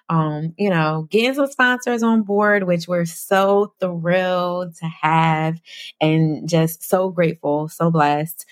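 A woman's voice: lowish voice, dry recording, studio quality. A young adult woman co-hosting a podcast, chatting casually in slang and laughing often. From New Jersey. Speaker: podcast co-host.

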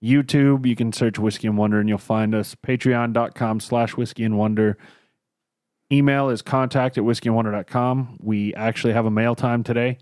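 A man's voice: medium pitch, steady tone, normal speed, darkish tone, no room sound, studio quality. Man with a cough, rambling. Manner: rambling, loses his train of thought. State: coughing, tickly throat. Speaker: podcast co-host. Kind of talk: casual chat.

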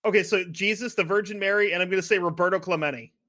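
High-voiced sarcastic man. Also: fairly even intonation, quick pace, bright, forceful tone. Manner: sarcastic, heavy swearing, jokey interjections. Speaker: podcast co-host. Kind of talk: casual podcast banter.

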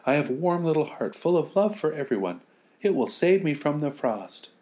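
A man voicing a brave, determined and warm-hearted little orphan girl in a storybook. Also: on the high side, sing-song, normal speed, voice pushed up. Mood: defiant, brave.

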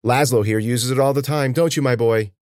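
A man's voice: mid-range voice, brisk, darkish tone, no echo, clean studio sound, voice pushed up, natural voice. A man voicing a sly elderly woman herbalist in a storybook, coaxing people with patronizing endearments.

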